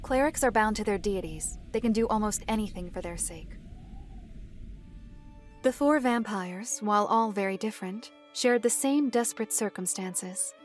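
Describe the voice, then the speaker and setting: A woman's voice: mid-range voice, natural voice. A reserved woman storyteller from a fantasy audio drama, played by an actress. She chooses her words with formal precision and keeps a composed, dryly stern tone. Music plays behind her voice. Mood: solemn storytelling. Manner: measured, formal, precise phrasing. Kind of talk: fantasy visual novel audio drama acting.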